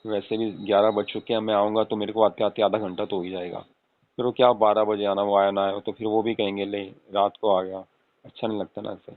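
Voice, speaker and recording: A man's voice: lowish voice, level tone, fairly quick, lightly acted. A man playing an affectionate young husband on a casual late-night call, coaxing and often asking for agreement. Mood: gently advising. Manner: coaxing, repeatedly seeking agreement with tag questions. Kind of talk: acted casual phone conversation with spouse. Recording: tinny phone-call audio with room echo.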